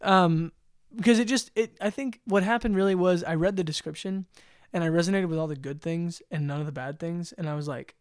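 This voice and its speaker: A man's voice: on the high side, brisk, smooth, dry recording, studio quality. A man co-hosting a podcast in casual chat, rambling with frequent laughs and fillers. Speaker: podcast co-host.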